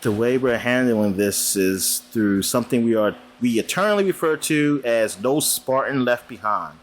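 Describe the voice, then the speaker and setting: A man's voice: medium pitch, animated, normal speed. A man co-hosting a gaming podcast, laid-back and crude, laughing often. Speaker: podcast co-host. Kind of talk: casual chat.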